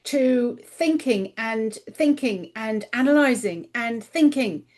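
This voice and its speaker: A woman's voice: fairly high pitch, animated, slow, darkish tone. A middle-aged woman, a guest author in a casual podcast interview, talking earnestly and voicing her own inner thoughts aloud.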